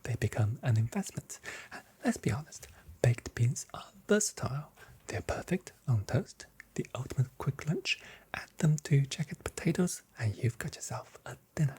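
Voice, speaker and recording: A very soft, whispering voice in an ASMR role play as a grocery shop assistant, giving gently playful sales patter. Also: medium pitch, very animated, moderate pace, slightly rough.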